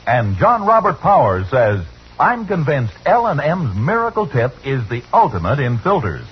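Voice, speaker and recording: A man's voice: medium pitch, wildly swooping pitch, moderate pace. A man announcing a 1950s radio commercial in an emphatic, polished sales delivery. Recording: dull, noisy vintage radio recording.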